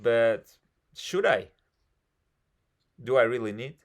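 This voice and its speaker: A man with a Russian accent talks English casually over a video call. His pitch sits in a plain middle range. He rambles frankly and fills his pauses. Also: lively intonation. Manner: rambling and frank, many "you know" fillers. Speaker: civil engineer. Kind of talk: casual conversation practice.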